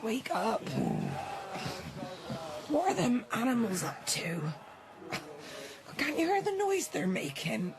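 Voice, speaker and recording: An actress playing a shrill, complaining farmer's wife with a rustic manner and an English accent, in a radio drama. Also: female voice, big pitch swings, slow, pauses often, noisy background, exaggerated voice. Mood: alarmed, nagging. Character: nagging farmer's wife woken at night.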